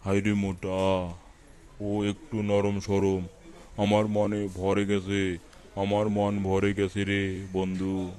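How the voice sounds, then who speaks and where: A man playing a simple, delighted blind village man in a children's cartoon, with a slow, wondering manner. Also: on the low side, completely flat delivery, dark tone. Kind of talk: children's cartoon story acting. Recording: faint background noise.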